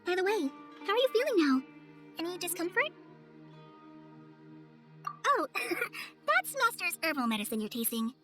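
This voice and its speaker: A woman's voice: very high voice, big pitch swings, unhurried, pauses often, a bit bright, exaggerated voice. A woman voicing an earnest, modest young girl, a trainee forest ranger in a video game, polite and hesitant. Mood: modest, caring. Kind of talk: video game cutscene acting. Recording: music behind the voice.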